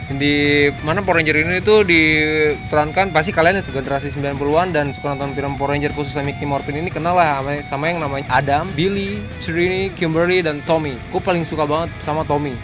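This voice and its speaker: A man's voice: on the high side, steady tone, fast talker. A young man, hosting a vlog in casual, nostalgic chat. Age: young adult. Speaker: YouTube vlog host. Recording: background music behind the voice.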